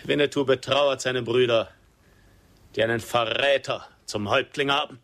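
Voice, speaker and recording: An actor playing a proud, defiant captive Apache chief in a radio drama, solemn and declamatory. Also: male voice, mid-range voice, steady tone, normal speed, fairly bright tone, close to an everyday voice.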